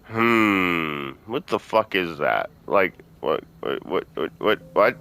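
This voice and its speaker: Low-pitched man, slow pace, clear crisp voice, casual gaming host, informal and sweary. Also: animated, bright, forceful tone. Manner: informal, swearing, talking to viewers. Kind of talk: casual let's-play commentary.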